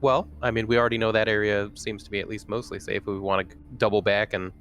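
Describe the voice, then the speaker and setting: A man's voice: fairly low pitch, little pitch movement, fast talker, a bit bright, faint music behind. A man playing a tabletop roleplaying game, chatting casually and informally with slangy phrasing.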